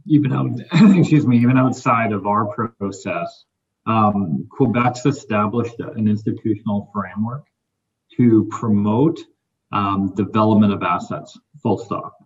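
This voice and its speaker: A man speaking as a mining company executive on a panel, measured and businesslike. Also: on the low side, highs cut off. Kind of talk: panel discussion.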